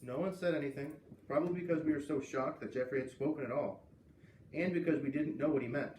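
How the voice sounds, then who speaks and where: A man reading a children's book aloud as an expressive storyteller. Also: on the high side, fast. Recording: roomy, distant mic with faint noise.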